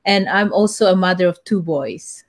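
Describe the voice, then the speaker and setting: A woman from the Philippines, a guest on a live video talk, chatting in a lively casual way. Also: fairly low pitch, normal speed. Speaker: guest, business owner. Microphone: video call.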